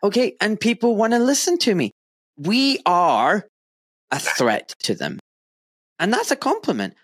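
A Glaswegian man talks in a higher-pitched voice, animated and emphatic, and he swears freely. The sound is dry and close, with no room around it. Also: a little slow, no room sound. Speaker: podcast host. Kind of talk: casual podcast conversation.

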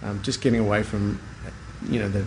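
A man's voice: on the low side, little pitch movement, brisk, a little mellow. Unassuming middle-aged Australian man, noisy background. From Brisbane, Australia. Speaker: guest, plastic surgeon. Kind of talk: casual interview chat.

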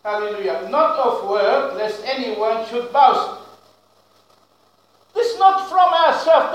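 A high-voiced male pastor preaches a loud, emphatic sermon in English that is not his first language, with broken grammar. He talks slowly and stretches key words for weight. His voice rings around a very echoey church. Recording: very echoey room.

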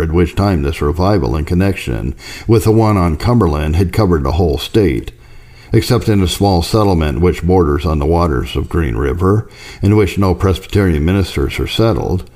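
Deep, mellow man reading old religious history. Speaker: audiobook reader.

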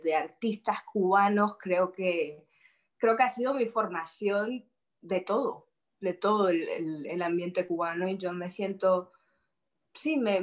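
A young woman from Spain, a guest in an interview, who talks casually and hesitates, repeating words as she thinks. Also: medium pitch.

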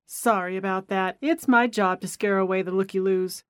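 A brisk, chirpy receptionist who guards the office door, voiced by a woman narrator. She sounds apologetic and friendly. The recording is dry and close. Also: mid-range voice, steady tone, dry recording, natural voice.

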